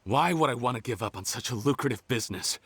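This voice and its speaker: A man's voice: mid-range voice, expressive, fast, noisy background, close to an everyday voice. An actor playing a bitter, defensive young male criminal researcher in a video game, dramatic and emotional. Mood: bitter, defiant. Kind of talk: English video game voice acting.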